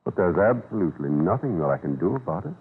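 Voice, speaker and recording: A stern but courteous judge and father in a 1930s radio play. His delivery is very animated and formal, with a husky edge. He sounds courtly and immovable, heard through a narrow, scratchy old broadcast. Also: low-pitched, fast, heavily acted. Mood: apologetic, stern. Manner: formal, courtly, hesitant at awkward moments. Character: dignified, conservative older judge and father, courteous but unbending. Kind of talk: 1930s radio drama acting.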